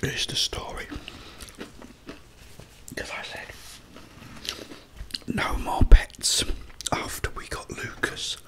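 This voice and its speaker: Slow whispering man. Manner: hushed, unhurried, halting with pauses. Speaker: ASMR creator. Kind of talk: ASMR whispered personal storytelling.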